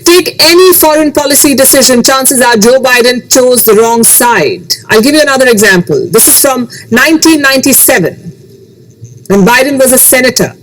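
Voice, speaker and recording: A woman hosting a scripted news commentary, speaking emphatically with rhetorical questions and dramatic pauses. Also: high voice, lively intonation, a little hiss or hum, low-quality recording.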